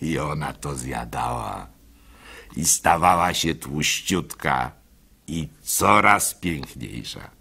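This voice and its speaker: Gullible, lovestruck baker in radio drama: gravelly, very bright and forceful, hesitant confessional storytelling. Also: male voice, very deep voice, expressive, slow pace, heavily acted. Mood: smitten, ashamed.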